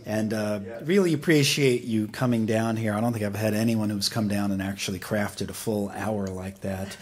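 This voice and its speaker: A man's voice: fairly low pitch, steady tone, normal speed. A man hosting a casual live interview on the radio. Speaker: radio host.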